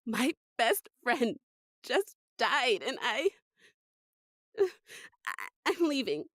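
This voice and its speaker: A woman's voice: a little high, big pitch swings, very slow, a bit bright, no room sound, clean studio sound, exaggerated voice. An actress playing a fed-up, grieving, defiant young woman in a horror audio drama, blunt and insistent. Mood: upset, defiant.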